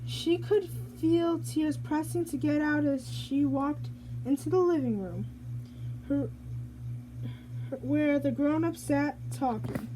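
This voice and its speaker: High-voiced woman slowly reading a storybook. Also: mellow, a little hiss or hum, low-quality recording. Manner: halting, stumbles and rereads phrases. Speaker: storybook reader. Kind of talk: reading a children's picture book aloud.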